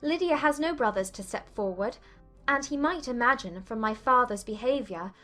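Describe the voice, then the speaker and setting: A woman's voice: fairly high pitch, lively intonation, normal speed, sharp tone, soft background music. A woman playing an anxious, distressed young woman in a dramatized period novel, earnest and questioning. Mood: agitated, insistent.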